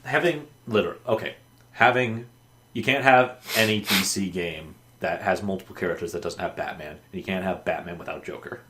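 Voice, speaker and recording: A man's voice: sits low, fairly quick, background noise. A man co-hosting a casual gaming podcast, rambling and correcting himself, swearing freely. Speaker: podcast co-host.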